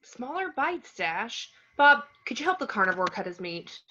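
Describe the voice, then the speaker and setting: Woman acting a bright-voiced firm mother, muffled recording. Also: a little high, sing-song, moderate pace, full character voice. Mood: exasperated. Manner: admonishing, exasperated. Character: patient but firm superhero mother. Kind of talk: online script table read.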